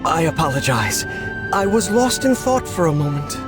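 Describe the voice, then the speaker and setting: An actor playing a gentle, noble cookie hero in an animated fantasy game, courteous, formal and earnest. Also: male voice, on the high side, normal speed, music in the background. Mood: earnest, composed.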